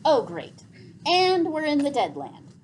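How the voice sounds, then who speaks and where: A woman's voice: high voice, wildly swooping pitch, takes it slowly, voice pushed up, full character voice. A woman voicing an anxious young boy hero from a storybook, urgent and exasperated. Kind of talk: storybook character reading. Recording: faintly noisy classroom recording.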